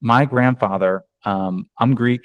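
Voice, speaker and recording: A man's voice: medium pitch, a little slow, dry recording. A man from New York, a real estate agent, giving a conversational online training over a video call with many ums and restarts.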